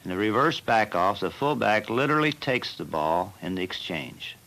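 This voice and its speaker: Low-voiced coach explaining football plays. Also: male voice, expressive, creaky, a bit bright, rough recording. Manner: measured, plain instructional delivery. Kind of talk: instructional film narration.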